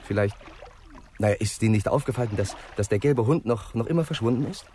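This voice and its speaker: Man playing deferential inspector, lower pitch, earnest, tentative, stumbling phrasing, vintage radio drama, hissy sound. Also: warm tone, close to an everyday voice. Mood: hesitant. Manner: halting, tentative, puzzling things out. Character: earnest, hesitant, deferential junior police inspector. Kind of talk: 1960s German radio crime drama acting.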